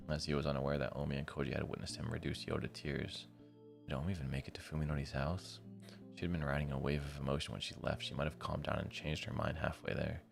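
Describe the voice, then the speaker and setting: Very deep, gravelly male streamer over music. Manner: slangy, informal asides. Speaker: live streamer. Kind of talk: reading a visual novel aloud with casual commentary.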